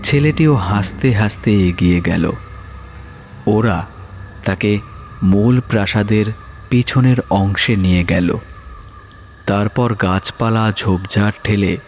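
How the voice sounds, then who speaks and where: A male narrator tells a horror story in a fairly low voice. He takes his time, very slow and measured, with dramatic pauses between phrases. Soft music runs behind him.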